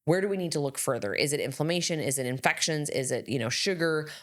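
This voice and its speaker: Low-voiced woman giving health advice. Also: animated. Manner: measured, advisory, with hedging fillers. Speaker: podcast co-host, health practitioner. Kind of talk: casual health podcast talk.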